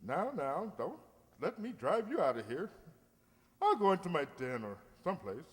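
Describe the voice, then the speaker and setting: Un actor haciendo de tío pomposo, voz muy cambiante. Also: male voice, a little high, very animated, normal speed, breathy edge, long reverb tail. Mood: awkwardly polite. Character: blustery middle-aged uncle, pompous but soft-hearted. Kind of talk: old-time radio comedy acting.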